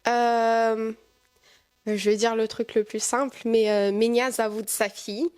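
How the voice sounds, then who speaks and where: A woman's voice: fairly high pitch, little pitch movement, takes it slowly, a little mellow. An 18-year-old woman from France answering interview questions thoughtfully, with frequent hesitations. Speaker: guest.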